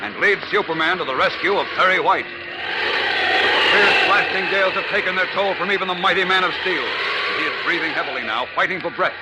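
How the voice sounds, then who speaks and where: A man's voice: sits high, brisk, natural voice, low-quality recording. A man narrating a 1940s radio serial in a grave, suspenseful, theatrical way. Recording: old radio broadcast under loud music.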